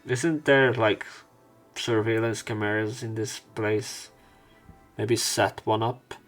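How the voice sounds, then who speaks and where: Low male voice, visual novel let's play, read-aloud narration, sardonic casual asides, clear music behind. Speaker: let's player.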